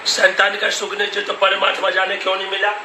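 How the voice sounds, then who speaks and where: Old guy guru rambling and repeating himself, highish voice, noisy roomy call recording. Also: monotone, fairly quick, recorded from a distance. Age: elderly. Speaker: religious storyteller and guru being interviewed. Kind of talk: informal spoken interview answers.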